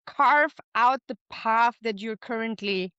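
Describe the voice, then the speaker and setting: A woman's voice: on the high side, slow, a bit bright, smooth, no echo, muffled recording. A woman hosting an interview on a video call, asking curious, encouraging questions in a casual way. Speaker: interview host.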